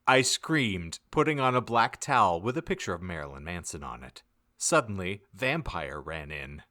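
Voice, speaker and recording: A man with a fairly low, forceful voice narrates a comic audiobook. His reading is theatrical and mock-serious, with lively swings in pitch. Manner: theatrical, deliberate emphasis. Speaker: podcast narrator.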